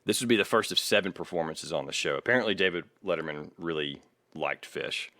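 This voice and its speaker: A man's voice: low voice, animated, brisk, pushed and bright, dry recording, studio quality. A man co-hosting a podcast, narrating music history casually and informally with an amused streak. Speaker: podcast co-host.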